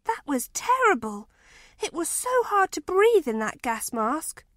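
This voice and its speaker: A woman's voice: high voice, sing-song, unhurried, a bit bright, no echo, clean studio sound, full character voice. A woman voicing an outspoken, emphatic young schoolgirl in a wartime storybook. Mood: disgusted.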